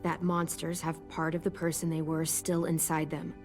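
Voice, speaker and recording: A woman's voice: sits low, monotone, normal speed. An American actress playing a guarded but caring teenage girl survivor in a video game, in a natural style. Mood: firm, protective. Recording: music behind the voice.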